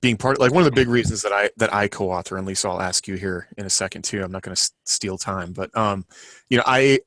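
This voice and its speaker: A man's voice: fairly low pitch, fast talker, a bit bright, dry recording. A man co-hosting a casual podcast, rambling and thinking aloud as he talks. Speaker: podcast co-host and co-author.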